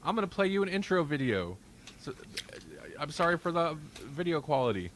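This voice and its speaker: Lively, bright-voiced man hosting a podcast. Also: a little high, brisk, a little hiss or hum. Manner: rambling, joking, self-deprecating. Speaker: podcast host. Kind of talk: casual live-stream podcast chat.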